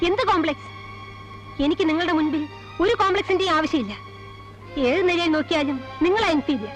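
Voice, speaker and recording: An actress playing a proud, sharp-tongued young woman in a film drama, scolding and disdainful, with an Indian Malayali accent. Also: very high-pitched, animated, fairly quick, soft background music. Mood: defiant contempt. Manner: scolding, disdainful, English words mixed in. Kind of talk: heated film drama dialogue.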